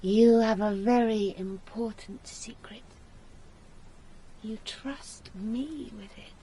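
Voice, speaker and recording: A woman's voice: on the high side, slow pace, warm tone, slight background noise, poor audio. An actress playing a passionate young woman in love in a radio drama, intimate and emotional as she speaks and narrates her romance. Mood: tender, earnest. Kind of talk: radio drama acting with first-person narration.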